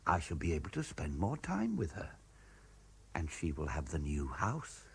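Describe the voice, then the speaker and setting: A man's voice: very deep voice, wildly swooping pitch, normal speed, creaky, slightly rough, heavily acted. An actor with a British accent playing a harried, persuasive, genteel businessman husband in a 1970s radio drama.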